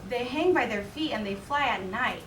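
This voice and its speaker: A woman reading as an earnest, excitable young girl bat in a storybook, emphatic and wide-eyed. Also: fairly high pitch, normal speed. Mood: excited. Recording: roomy, distant mic with background noise.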